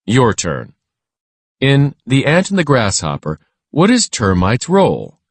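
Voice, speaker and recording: A man reading a scripted children's lesson aloud in a measured, instructional way. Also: medium pitch, wildly swooping pitch, no room sound.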